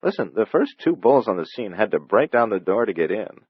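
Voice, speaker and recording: A man's voice: fairly low pitch, very animated, brisk, slightly rough, no room sound, dull audio, pitched above the performer's own voice. Man voicing an incredulous, insistent investigator. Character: incredulous man puzzling over a locked-room crime.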